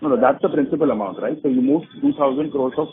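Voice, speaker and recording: A man's voice: medium pitch, fast talker, slight background noise. A man speaking as an analyst, putting questions on an earnings call. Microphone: phone line. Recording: muffled phone conference line.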